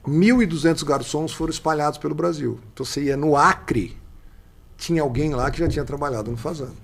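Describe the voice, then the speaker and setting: A Brazilian man in his sixties with a São Paulo accent and a husky, fairly high voice. He tells stories in an animated, conversational way as a guest, stressing points by repeating them. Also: a little fast. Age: 60s. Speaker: podcast guest.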